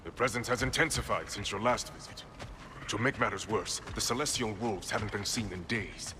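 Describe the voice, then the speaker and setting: A man's voice: fairly low pitch, fairly quick, noisy background, natural voice. A man playing a proud, stern boar shapeshifter in human form in a video game, speaking in a blunt, formal, dry manner. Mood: businesslike. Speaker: game character, exiled advisor. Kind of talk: video game cinematic acting.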